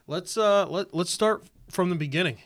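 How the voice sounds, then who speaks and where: A man's voice: high voice, measured pace, fairly bright tone, no room sound. American man hosting a podcast, dry sound. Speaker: podcast host. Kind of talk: conversational podcast introduction and interviewing.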